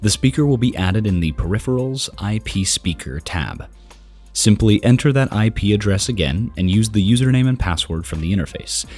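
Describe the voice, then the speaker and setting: A man's voice: fairly low pitch, expressive, moderate pace, a little mellow, faint music behind. A young American man in his twenties presenting a product setup tutorial in a casual but scripted way, close to the microphone.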